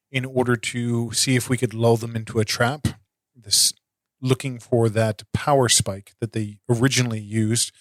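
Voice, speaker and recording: A man playing a calm military intelligence officer in a tabletop game, speaking formally in halting, measured phrases. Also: medium pitch, no echo, lightly acted. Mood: methodical. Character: calm, deliberate male military intelligence officer, quietly insistent.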